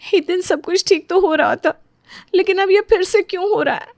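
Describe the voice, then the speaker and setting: A woman's voice: high-pitched, moderate pace, a little mellow, dry recording, clean studio sound, voice pushed up, natural voice. A woman acting a meek, hurt young daughter-in-law in a family audio drama, plaintive and pleading.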